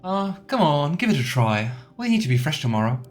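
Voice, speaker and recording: A man's voice: fairly high pitch, big pitch swings, brisk. A man giving casual let's play commentary in a chatty, playful way, with rambling asides. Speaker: let's player.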